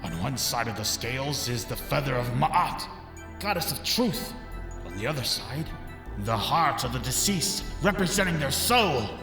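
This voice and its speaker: A man's voice: mid-range voice, big pitch swings, normal speed, long reverb tail, over music. A man playing a greedy, blustering museum investor in an audio drama. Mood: nervous lecturing.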